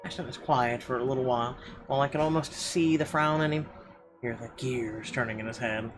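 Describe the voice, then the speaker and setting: A man with a mid-pitched voice reads a visual novel's narration aloud. His delivery is expressive and a little halting, with clear music playing behind him. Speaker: game player reading narration aloud.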